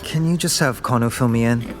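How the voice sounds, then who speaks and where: An actor playing an affectionate, wryly joking young Korean heir in an audio drama. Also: male voice, mid-range voice, fairly quick, faint music behind, lightly acted.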